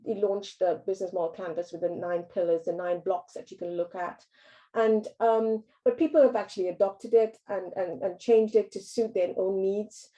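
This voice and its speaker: A woman's voice: mid-range voice, dark tone, rough recording. A woman from Trinidad and Tobago, giving a business workshop talk, hesitant with frequent ums and restarts.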